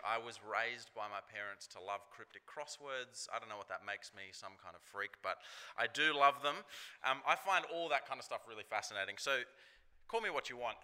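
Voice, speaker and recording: Man, preacher, sermon, very bright voice, conversational, chatty fillers. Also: mid-range voice.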